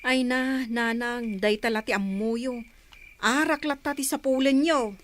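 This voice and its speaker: A woman acts as a worried daughter in an audio drama. Her voice is fairly high, exasperated and reproachful as she speaks to her elder. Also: unhurried, close to an everyday voice. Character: concerned, reproachful adult daughter confronting her mother.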